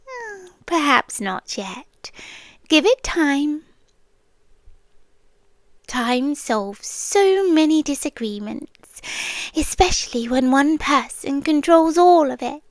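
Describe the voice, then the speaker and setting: A woman acts out a British siren queen, an obsessively loving female monster in an ASMR roleplay. Her voice is high and extremely unhurried, soft and teasing, slipping into whispers. She sounds sweetly menacing and possessive, recorded close and dry. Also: dry recording, heavily acted.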